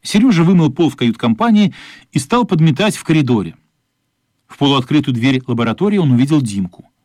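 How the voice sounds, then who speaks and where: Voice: mid-range voice, expressive, fairly quick, a little mellow, no room sound, studio quality. A narrator telling a story in scripted prose for a radio play.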